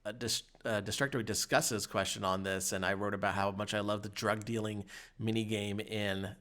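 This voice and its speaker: A man's voice: fairly low pitch, steady tone, moderate pace, fairly bright tone. A man hosting a podcast, chatting casually with the others on the show. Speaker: podcast host.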